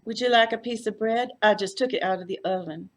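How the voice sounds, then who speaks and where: A woman's voice: medium pitch, brisk, slight background noise, voice pushed up, lightly acted. Woman playing a folksy, effusive South Texas lady. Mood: hospitable. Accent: deep South Texas. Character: folksy, friendly retired Texan nurse, chatty woman.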